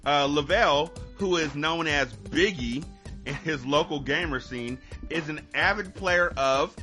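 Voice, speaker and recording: A man's voice: a little high, a little slow, sharp tone, faint music behind. A man hosting a podcast, telling stories in casual, joking, swear-filled chat. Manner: profane, joking, storytelling. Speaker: podcast host.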